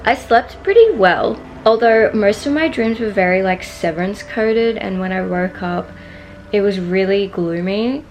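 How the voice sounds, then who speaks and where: A young woman from Singapore with a strong Australian accent, narrating her vlog in a relaxed, chatty way full of "like". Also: medium pitch, a little slow, dull audio, a little hiss or hum. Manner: relaxed, chatty, many "like" fillers. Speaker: vlogger. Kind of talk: casual voice-over narration.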